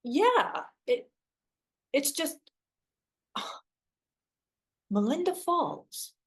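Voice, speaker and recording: A woman's voice: high-pitched, sing-song, extremely slow, heavily acted. A woman acting in a naturalistic drama as a wry, self-deprecating best friend who sighs, hesitates and teases. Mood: surprised, wistful.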